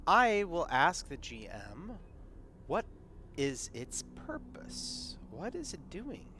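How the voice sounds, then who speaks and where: A man playing a tabletop roleplaying game, chatting casually and haltingly, repeating words as he thinks. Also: a little high, huge pitch swings, bright, forceful tone, a little hiss or hum. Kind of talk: casual in-character game chat.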